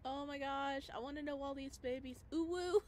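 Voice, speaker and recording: A woman's voice: high voice, normal speed, darkish tone. A woman hosting a gaming video, giving gushing, playful let's play commentary. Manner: gushing, exclamatory, playful cutesy slang. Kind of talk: excited let's play commentary with read-aloud game text. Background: music.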